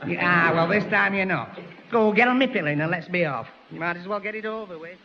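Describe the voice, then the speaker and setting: An actor playing a gormless, excitable young lad, stammering and exclaiming in a 1960s radio comedy. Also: male voice, sits high, a little fast. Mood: protesting. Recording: muffled, noisy 1960s radio recording.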